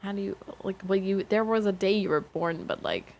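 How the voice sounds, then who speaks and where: A woman's voice: medium pitch, a little fast, vocal fry, a little mellow. A young woman streaming a game, chatting in a rambling, giggly way that often interrupts itself. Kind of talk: casual chat while gaming. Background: game audio and music.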